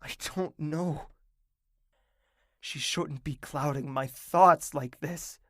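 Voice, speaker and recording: A man voicing a brooding, lonely young royal, narrating his thoughts in first person in an audio drama, quiet and sometimes whispering. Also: fairly high pitch, takes it slowly, dry recording, studio quality. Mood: wistful.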